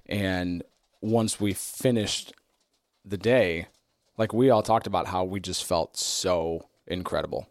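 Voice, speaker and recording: Male, low voice, upbeat casual co-host, dry close mic. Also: takes it slowly, no room sound. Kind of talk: casual podcast chat.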